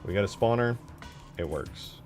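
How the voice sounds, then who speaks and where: Deep-voiced man chatting casually over music. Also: expressive, moderate pace. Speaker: game modder streaming his work. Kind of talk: casual livestream chat while working. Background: background music.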